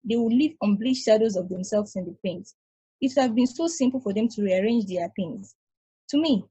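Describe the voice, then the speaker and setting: A woman reading as a weary ancient space probe with a dark husky voice. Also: mid-range voice, dry recording, low-quality recording. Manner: calm, reasoning, explaining at length. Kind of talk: table read over video call. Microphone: video call.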